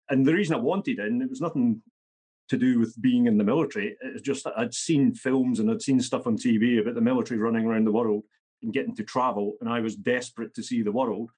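A man's voice: medium pitch, a little mellow, husky. A Scottish man in his fifties, a podcast guest talking bluntly and matter-of-factly. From Scotland. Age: 50s. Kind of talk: podcast interview.

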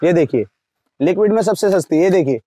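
A young man in a crowded cosmetics shop, rattling off wholesale prices per dozen and per piece in a rapid sales patter. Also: sits high, animated, mellow. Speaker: wholesale shopkeeper. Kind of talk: sales pitch to camera.

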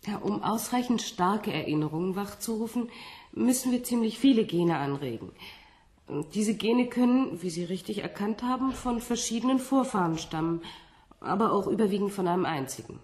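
A woman's voice: mid-range voice, expressive, a little mellow, lightly acted. Actress as a composed, didactic woman scientist. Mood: patient, superior. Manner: didactic, measured, with small rhetorical tags. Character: composed woman scientist, explaining patiently, slightly condescending. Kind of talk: radio play acting.

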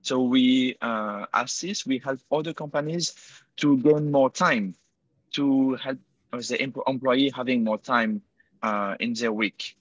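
A man's voice: medium pitch, unhurried, a bit bright, dry recording, muffled recording. A young man from Switzerland with a French accent, answering interview questions over a video call, hesitant with many fillers and self-corrections. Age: 20s. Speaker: guest entrepreneur. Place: indoor room by a window. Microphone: earbuds on a video call.

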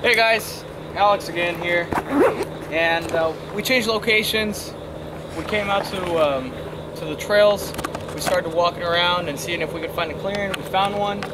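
A man's voice: high voice, unhurried. Young man vlogging from a riverbank. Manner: relaxed, explains while fishing, many fillers. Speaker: fishing vlogger. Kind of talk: casual outdoor fishing vlog. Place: outdoors on a riverbank. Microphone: clip-on lapel mic. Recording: outdoor noise behind.

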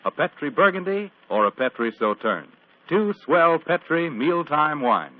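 A man's voice: fairly high pitch, expressive, unhurried, background noise. A man announcing on 1940s radio, reading scripted sponsor copy and story narration. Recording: muffled, tinny vintage radio broadcast.

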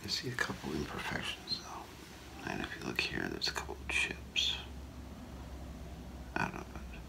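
Very deep, creaky whispering ASMR man. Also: wildly swooping pitch, poor audio. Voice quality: breathy low whisper with gravelly creak. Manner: hushed, unhurried, halting, explaining gently to a client. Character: soft-spoken gemologist appraising for a client. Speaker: ASMR performer playing a gemologist. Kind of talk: ASMR role play, soft whispering. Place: small indoor room, seated before a curtain.

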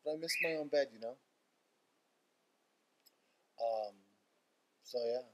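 A man's voice: mid-range voice, takes it slowly, noisy background. Weary, grumbling young man rambling. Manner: weary, grumbling, dryly sarcastic. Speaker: vlogger. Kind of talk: casual rambling video diary. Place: room in a house.

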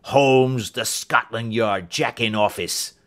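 A man's voice: medium pitch, big pitch swings, slow pace, fairly bright tone, pitched above the performer's own voice. A man voicing a huge, furious old doctor in an audiobook, a menacing bully who snarls his threats. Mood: furious, threatening. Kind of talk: audiobook character acting.

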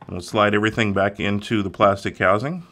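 A man's voice: lowish voice, fairly even intonation, brisk, bright, forceful tone. A man walking through an electronics repair step by step in a plain, methodical way. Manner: methodical, plain instructional delivery. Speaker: repair instructor.

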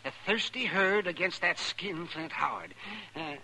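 A high, very bright and piercing male voice: a wry, chatty, crotchety old American town doctor from a radio western. Faint hiss of an old broadcast sits behind him. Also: a little slow, heavily acted, low-quality recording. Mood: gleeful. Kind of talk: 1950s radio western acting.

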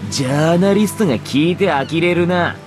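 An actor voicing a cocky young outlaw in a Japanese game, with a rough, sardonic, swaggering way of talking. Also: male voice, on the high side, moderate pace, music in the background, natural voice. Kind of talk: Japanese anime game voice acting.

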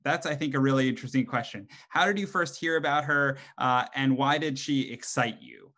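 The man you would hear opening an online webinar from a room at home, mid-pitched, feeling his way through introductions to the guests. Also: brisk, pushed and bright. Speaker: webinar moderator and host; college professor. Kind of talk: informal hosting of an online panel. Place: indoor room at home, video call. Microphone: computer microphone on a video call.

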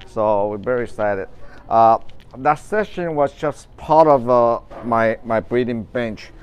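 A man's voice: medium pitch, unhurried, clean tone. A middle-aged man from Taiwan with a Taiwanese accent, talking casually in a greenhouse live stream, rambling with many fillers and restarts. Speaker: orchid grower and show host. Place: large greenhouse.

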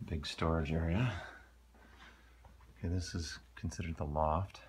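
A man, a real estate agent, giving a relaxed, offhand walkthrough of a condo while filming on a handheld phone. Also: very deep voice, measured pace, slight background noise. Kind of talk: casual property walkthrough. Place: condo interior, walking through rooms.

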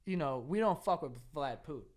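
A man's voice: fairly high pitch, animated, normal speed. A young American man co-hosting a comedy podcast, teasing and swearing casually into a close studio mic. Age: young adult. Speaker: podcast co-host. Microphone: close broadcast mic on desk stand.

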